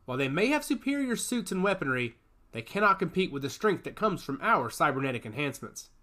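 A man voicing a calm, wry young man who supports his siblings in a read-aloud story. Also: a little high, fast.

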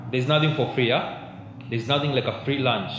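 A man's voice: mid-range voice, moderate pace, a bit bright. A young man with a Kenyan accent giving a conversational youth sermon at a pulpit, holding a handheld mic close and often checking in with his listeners. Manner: mixes in Swahili, keeps checking in with "yeah?". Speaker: youth preacher. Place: church hall, at a pulpit. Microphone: handheld mic held close. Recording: echoey church room, faint background hum.

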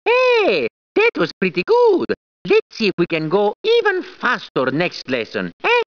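A man's voice: high-pitched, huge pitch swings, measured pace, dry recording, heavily acted. A man voicing a cheerful, clowning cartoon plumber in a video game, with a put-on Italian accent, squeals and laughs. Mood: playful. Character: cheerful plumber man, jokey, eager and bouncy. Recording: dull, dry game audio.

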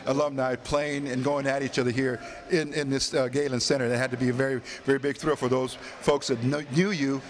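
A man's voice: medium pitch, level tone, a little fast, few pauses. An older man, a sports reporter, asking long, rambling questions full of "uh" hesitations, into a handheld mic in an arena concourse. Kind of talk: post-game interview. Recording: crowd noise behind.

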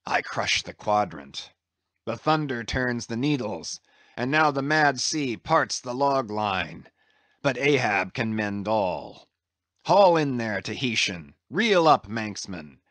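A man's voice: mid-range voice, animated, slow pace, fairly bright tone, no echo. A man playing a brooding, half-mad old whaling captain in a dramatised sea story, commanding and declaiming in grand archaic speech. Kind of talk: dramatised classic sea novel reading.

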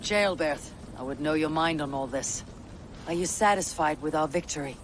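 Brief: male actor as steady Viking chief, slightly high, firm and level, faint outdoor hiss. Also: normal speed, close to an everyday voice. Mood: resolute. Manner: firm, composed, commanding. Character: steady Viking clan leader, calm and resolute. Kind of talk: video game cutscene acting.

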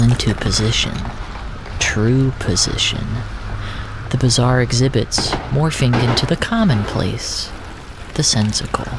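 A man narrating surreal first-person audio fiction in a deliberate, descriptive way, often in short fragments. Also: medium pitch, noisy background.

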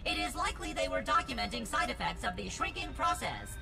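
Video game robot helper: heavily fried, animated delivery, stiffly formal, wordy, eccentric, glitchy stutter. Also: female voice, mid-range voice, fairly quick, creaky. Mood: matter-of-fact. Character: chirpy, wordy, eccentric robot lab assistant. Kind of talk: scripted video game dialogue. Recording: loud music behind. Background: music.

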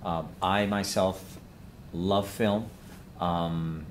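A man's voice: sits low, fairly even intonation, drawn-out words, slight background noise. A man hosting a conversational local interview as the interviewer. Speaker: host, interviewer. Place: inside a cafe theater.

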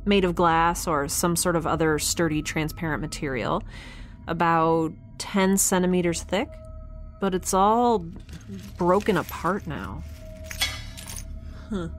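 Woman acting a geeky young space explorer, lower-pitched, slow halting delivery, sci-fi podcast drama, flustered but kindhearted. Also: soft background music. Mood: curious, observing. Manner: halting, conversational, with sighs and self-corrections. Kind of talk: audio drama acting, informal recorded log.

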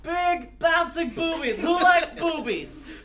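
Woman, brash shouty jokes, lively voice, crowd noise behind. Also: extremely high pitch, slow pace. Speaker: fandub performer. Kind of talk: live comedic fandub.

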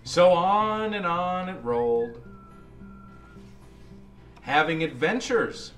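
A man reading a children's picture book aloud in an expressive, dramatic and deliberate way. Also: on the high side, roomy sound, faint music behind. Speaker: storyteller.

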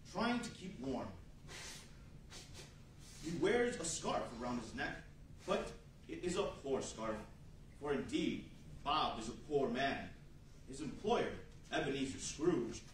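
A theatrical 1940s radio storyteller, acted by a man addresses the room with wry flair. His voice is high and animated. It is very echoey and far from the mic. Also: slight background noise. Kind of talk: 1940s radio narration on stage. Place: large indoor meeting room with seated audience. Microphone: far camera.

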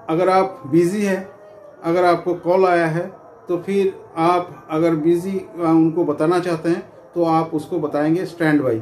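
A man's voice: sits high, a little mellow. A middle-aged man giving an informal instructional talk to camera in a repetitive, explanatory way, with frequent filler phrases. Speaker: presenter of security training videos. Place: small indoor room with curtains. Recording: echoey room with faint background noise.

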